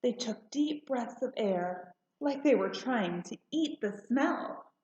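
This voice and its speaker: A woman narrating a children's storybook aloud in a deliberate, expressive way. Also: a little high. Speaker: storybook reader. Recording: roomy, slightly muffled home recording.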